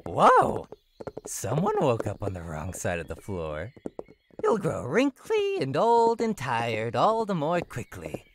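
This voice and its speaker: A woman voicing a mischievous, taunting clown-like jester in a horror game, in a theatrical, teasing singsong with drawn-out words. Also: extremely low pitch, huge pitch swings, slight background noise, full character voice. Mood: mock sympathy. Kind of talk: visual novel horror game character acting.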